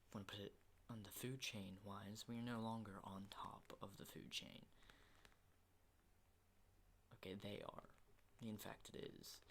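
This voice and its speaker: A man playing an unhinged, menacing survivor of a zombie apocalypse in a halting, intimate ASMR roleplay, in breathy whispers. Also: little pitch movement, a bit bright, slight background noise. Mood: grim, bitter. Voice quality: breathy whisper with low creaky undertones.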